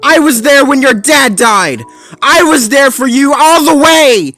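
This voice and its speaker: A man voicing a clumsy young male dalmatian pup who shouts in hurt and anger at his friends. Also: sits high, a little slow, faint music behind, pitched above the performer's own voice. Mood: furious shouting. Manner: shouting through tears, then choked and pleading.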